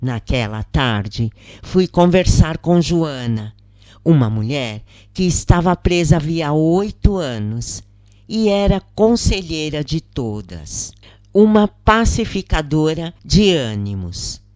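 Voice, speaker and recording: A woman narrating a scripted first-person story in a measured, solemn manner. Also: low voice, big pitch swings, darkish tone, no room sound, clean studio sound.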